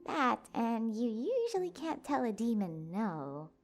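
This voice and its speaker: A woman playing a kind, awkward young human in an audio drama, hesitant and casual but expressive. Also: fairly high pitch, a little slow, faint music behind, heavily acted. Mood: earnest, kind. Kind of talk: audio drama character acting.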